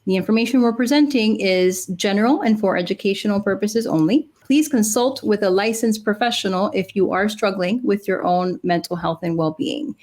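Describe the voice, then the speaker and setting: A woman's voice: medium pitch, moderate pace, hardly any pauses, a little mellow. A woman in her forties co-hosting a chat show, explaining with lots of "uh" fillers. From Cuban and Dominican background. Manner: many "uh" fillers, earnest explaining. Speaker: podcast co-host, clinical psychologist. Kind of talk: casual chat.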